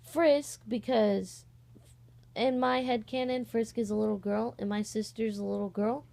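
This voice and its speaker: Casual young woman with a creaky voice. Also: a little high, fairly even intonation, unhurried, dark tone. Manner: informal, scattered, easily sidetracked. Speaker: amateur voice actor and video host. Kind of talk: casual rambling vlog chat. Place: on a bed at home, indoors.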